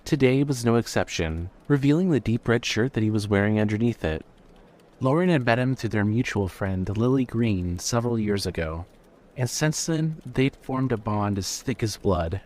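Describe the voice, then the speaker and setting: A man's voice: medium pitch, animated, moderate pace, a little mellow. A man giving scripted narration of a fiction story.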